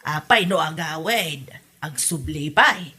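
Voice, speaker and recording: A woman's voice: sits low, lively intonation, slow, bright, forceful tone, slightly rough, heavily acted. A woman with an Ilocano accent playing a pleading, defensive village wife in a comedy radio drama. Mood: brisk, casual.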